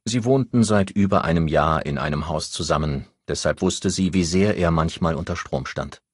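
A man's voice: low-pitched, normal speed, husky, dry recording, clean studio sound. A man narrating a novel as a scripted audiobook.

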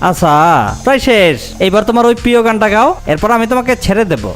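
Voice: medium pitch, expressive, fast talker, close to an everyday voice. An actor voicing a folksy, wheedling circumciser in a comedy cartoon, speaking colloquial Bangladeshi dialect. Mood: brisk. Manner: coaxing, folksy. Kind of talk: Bengali comedy cartoon dub. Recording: loud music over the voice.

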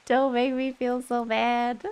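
A woman's voice: on the high side, flat delivery, slow, darkish tone, clean tone. A young woman chatting casually and gigglily with viewers as she streams a game on a close microphone. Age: young adult. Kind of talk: casual livestream gameplay chat. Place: indoor room, streaming setup. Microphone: boom-arm microphone close up. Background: faint game music.

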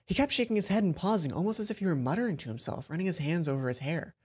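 A man's voice: high-pitched, expressive, very fast, darkish tone, husky. A young man hosting a let's play, reading visual novel narration aloud and adding casual commentary. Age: young adult. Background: faint game music.

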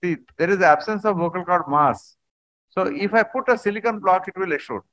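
A man, a surgeon, explaining surgical video clips in a halting conference talk over a video call. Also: high-pitched, fairly bright tone, dry recording. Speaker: surgeon presenting cases.

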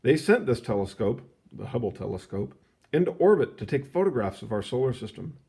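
A man reading a children's science book aloud in an expressive, explanatory storytelling manner. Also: mid-range voice, brisk, creaky, breathy edge. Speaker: read-aloud narrator.

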